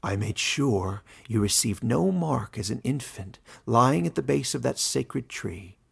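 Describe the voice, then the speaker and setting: A man playing a wise old forest warden in a fantasy story, gentle, soft-spoken and measured. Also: medium pitch, expressive, vocal fry, breathy edge, full character voice. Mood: earnest, firm.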